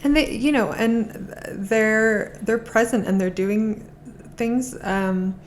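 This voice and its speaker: A woman's voice: fairly high pitch, slow pace, slight background noise. A woman speaking as a guest on a podcast, talking eagerly and often restarting her phrases. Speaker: guest, art auction specialist. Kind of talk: casual conversation.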